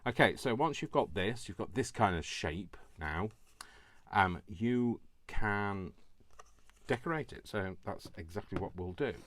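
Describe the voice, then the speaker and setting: A man from the UK, slightly hoarse from allergies, rambling and stumbling over his words as he explains a craft step by step. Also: on the low side, lively intonation, unhurried, fairly bright tone, dry recording. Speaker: crafting tutorial host. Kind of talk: casual craft tutorial.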